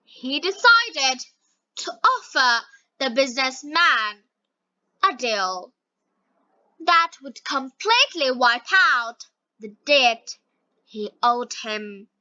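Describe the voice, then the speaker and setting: A woman reading scripted story narration for language learners, word by word with frequent pauses. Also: high voice, sing-song, sharp tone, no room sound, muffled recording.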